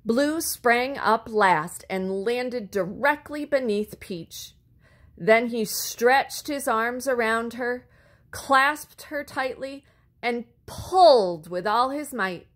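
A woman reading a children's story aloud expressively, with deliberate phrasing and words drawn out for effect. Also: on the high side. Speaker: storybook reader.

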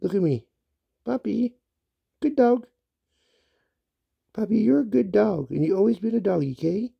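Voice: mid-range voice, lively intonation, normal speed, vocal fry, slightly rough, no echo, muffled recording. A pet owner talking casually to a dog, coaxing and repeating questions in baby talk, recorded on a handheld phone in a small bedroom. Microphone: handheld phone held near the speaker.

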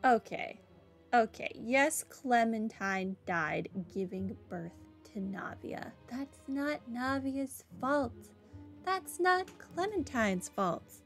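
A woman's voice: on the high side, expressive, slow pace, faint music behind. A woman giving casual, offhand playthrough commentary as a gaming streamer, in a dry manner.

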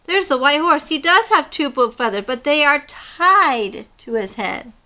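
A woman voicing a vain, hot-tempered male rooster in a storybook, with a shrill squawking voice. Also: animated, measured pace, sharp tone, heavily acted. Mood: excited.

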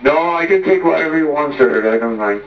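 A man reads back clearances as a pilot over the radio. He sounds far off and muffled, played through a speaker into an echoey room. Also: a little high, animated, brisk. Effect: radio heard through a computer speaker. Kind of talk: radio phraseology readbacks. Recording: distant, roomy, muffled speaker playback.

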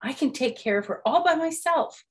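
A woman's voice: sits high, expressive, normal speed, voice pushed up. A woman voicing an eager, impatient young boy frog from a storybook, boastful and full of exclamations. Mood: eager, proud.

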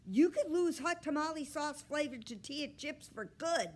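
A woman's voice: medium pitch, slow, a little mellow, breathy edge. A woman voicing an impatient, busy schoolboy in a storybook, exasperated and scolding as he talks to his pet. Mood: angry shouting.

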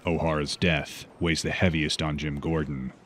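A man's voice: extremely low pitch, flat delivery, moderate pace, slight background noise. A man voicing a brooding, stern masked vigilante in a comic fan dub, terse and grave. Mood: grim, commanding. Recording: music bed behind.

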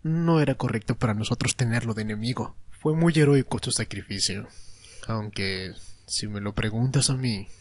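A man's voice: mid-range voice, moderate pace, darkish tone, no room sound, lightly acted. A man voicing a sly male vampire enforcer in an audio drama, mockingly polite and taunting. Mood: taunting menace. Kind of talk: Spanish-language ASMR roleplay audio drama.

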